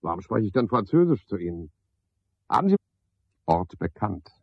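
Husky, pompous, sardonic porter man. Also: lowish voice, big pitch swings, moderate pace, no echo, dull audio, heavily acted. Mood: teasing, flirtatious. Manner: rambling, pompous monologue with mocking asides. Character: garrulous, pompous, sardonic male porter at a boarding house. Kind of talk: 1970s German radio play acting.

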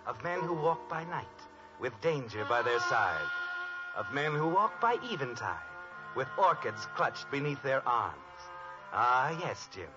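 A man playing a melodramatic, knowing mystery narrator in an old radio parody, theatrical and ominous. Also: mid-range voice. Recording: old radio recording, dull. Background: loud dramatic music.

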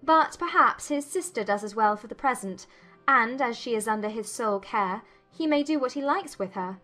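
Spirited young Regency gentlewoman, higher-pitched. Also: expressive, normal speed. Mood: lively, arch. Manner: arch, playful, formal phrasing. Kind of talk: dramatized audiobook acting. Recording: music behind the voice.

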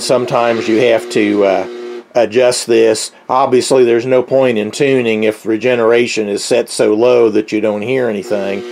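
A middle-aged man giving an unscripted, explanatory demonstration as a hobbyist, speaking haltingly with a gravelly voice. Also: mid-range voice, darkish tone. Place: indoors, at a tabletop radio. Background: shortwave radio playing faintly.

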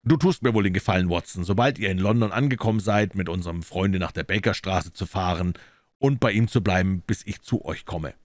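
A man voicing a coolly assured, incisive gentleman detective in an audiobook, emphatic and courteous. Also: low-pitched, brisk, no echo, clean studio sound, lightly acted. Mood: assured, brisk.

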